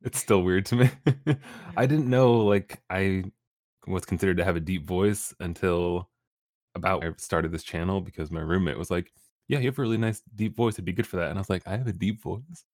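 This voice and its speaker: A man's voice: low-pitched, fairly quick, a little mellow, no echo, studio quality. A young man, a streamer guest on a podcast, talking casually with easy laughs and rambling restarts. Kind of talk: casual interview chat.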